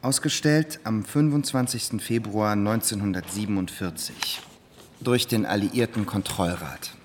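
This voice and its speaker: A man's voice: lowish voice, unhurried, a little hiss or hum, close to an everyday voice. A man voicing a grave, official chronicler in scripted radio narration, formal and clipped. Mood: grave, declarative.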